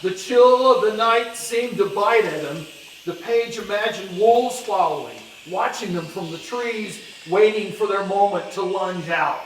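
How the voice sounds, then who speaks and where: A man's voice: sits high, unhurried. A man playing a storyteller, giving dramatic, scripted storybook narration on stage. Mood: suspenseful. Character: male storyteller narrating an old winter tale. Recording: echoey hall, far mic, faint noise.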